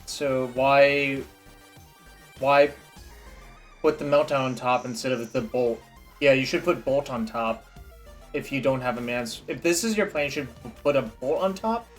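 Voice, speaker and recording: A man's voice: mid-range voice, a little slow, pauses often. Guy streaming a card game, mutters and grumbles to chat, faint music behind. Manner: frustrated, muttering to himself and chat. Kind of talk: casual game stream commentary.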